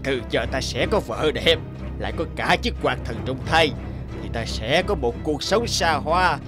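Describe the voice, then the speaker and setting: A man's voice: fairly high pitch, expressive, moderate pace, close to an everyday voice. A man playing a greedy, cruel tyrant villain in a cartoon fairy tale, gloating and domineering. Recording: music under the voice.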